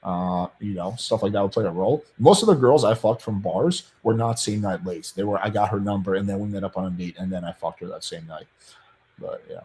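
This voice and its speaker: A deep, fairly low-pitched man talks bluntly and with plenty of profanity. He reads out viewer questions and answers them in a relaxed panel chat. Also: brisk.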